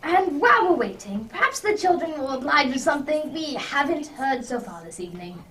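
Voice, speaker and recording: A woman's voice: sits high, very animated, a little fast, sharp tone, heavily acted. Woman doing a jolly old-fashioned gent detective, radio play, very up-and-down voice, bit of noise. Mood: genial, kindly. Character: genial, masterful gentleman detective, grown man. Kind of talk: amateur radio drama acting. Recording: distant mic with faint noise.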